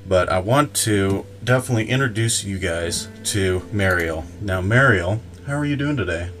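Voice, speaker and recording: A man hosting a podcast, introducing his guest and asking questions in a measured, emphatic way. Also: deep voice, expressive, fairly bright tone, faint music behind. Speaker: podcast host. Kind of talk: casual podcast interview.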